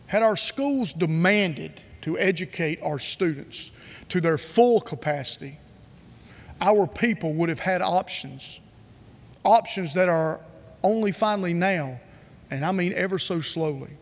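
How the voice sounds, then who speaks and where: A man from North Carolina, giving an earnest, measured prepared speech with emphatic repetition. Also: high-pitched, in a large hall. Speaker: speaker.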